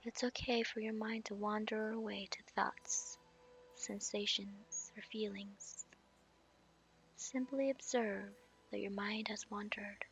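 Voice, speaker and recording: A woman guiding a meditation in a soft, slow, half-whispered voice. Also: medium pitch, fairly even intonation, gravelly, poor audio. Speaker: meditation guide. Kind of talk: guided meditation.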